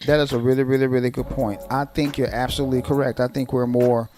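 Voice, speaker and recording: A man of middle pitch co-hosting a casual podcast, leaning on slow, stretched-out phrases and repeating himself for weight. Music plays clearly beneath his voice. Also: level tone, a little mellow. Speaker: podcast co-host. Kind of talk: casual political chat.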